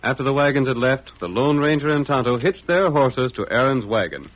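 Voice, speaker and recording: A man's voice: mid-range voice, expressive, a little fast, rough recording. A man reading scripted narration in the style of a 1940s radio drama. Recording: old-time radio recording.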